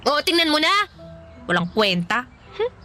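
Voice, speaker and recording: A woman's voice: sits high, big pitch swings, measured pace, bright, forceful tone, soft background music, heavily acted. An actress playing a touchy young tomboy turned girly in a radio drama, pouty and fishing for approval. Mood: pouty, irritated.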